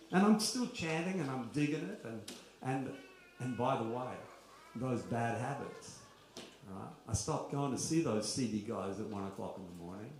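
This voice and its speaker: A man's voice: mid-range voice, a little mellow. Chatty man in an echoey hall. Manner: chatty and confessional, rhetorical questions, self-mocking asides. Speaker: kirtan speaker. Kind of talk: informal spiritual talk. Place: large echoey room at a kirtan gathering. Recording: very roomy, faint background noise.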